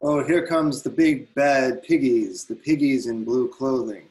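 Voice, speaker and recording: A man's voice: mid-range voice, measured pace, darkish tone, natural voice. A man playing a rowdy, defiant large man who taunts the police in a screenplay reading. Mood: taunting. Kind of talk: screenplay table read.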